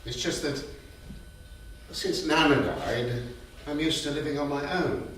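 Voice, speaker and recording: An actor playing a gentle, wry, widowed grandfather in a staged reading. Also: fairly high pitch, unhurried, recorded from a distance. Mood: gentle, reflective. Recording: echoey, noisy theatre, picked up from afar.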